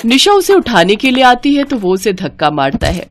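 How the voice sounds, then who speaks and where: A woman's voice: fairly high pitch, big pitch swings, moderate pace, a little hiss or hum. A woman narrating a scripted moral story as a storyteller.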